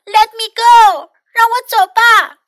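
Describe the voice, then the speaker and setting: Storybook critter, played by a woman: small, loud, indignant, high-pitched and piercing, emphatic delivery. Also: big pitch swings, no room sound, studio quality, full character voice. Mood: indignant yelling. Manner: yelling, emphatic, drawn-out. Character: small excitable animal Mac, loud and indignant.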